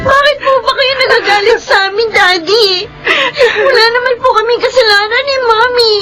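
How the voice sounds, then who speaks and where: Very high-pitched, pleading little daughter. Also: female voice, moderate pace, pushed and bright, soft background music. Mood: desperate pleading. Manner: plaintive, whiny, pleading. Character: tearful, pleading young daughter and granddaughter. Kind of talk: Filipino radio drama acting.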